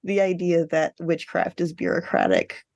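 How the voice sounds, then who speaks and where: A woman's voice: fairly low pitch, very animated, moderate pace, gravelly, darkish tone, no room sound, clean studio sound. A woman co-hosting a podcast in casual book chat, informal and wry, with the odd sweary aside. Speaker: podcast co-host.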